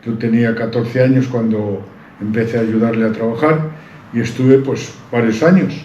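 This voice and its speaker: A man's voice: mid-range voice, fairly even intonation, moderate pace, mellow, a little hiss or hum. A man tells his life story in an interview, rambling proudly with many restarts. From Santander, Cantabria, Spain. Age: 70s. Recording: roomy, dull-sounding recording.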